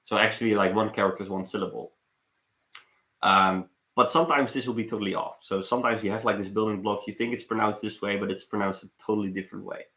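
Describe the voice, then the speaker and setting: The sound is roomy and echoey. A young man talks in a bright, forceful voice on the low side. He rambles casually like a streamer catching up with viewers, halting and repeating words as he goes. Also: fairly even intonation. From Netherlands. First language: Dutch. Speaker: live streamer, travel vlogger. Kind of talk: casual live stream chat. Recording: roomy echo.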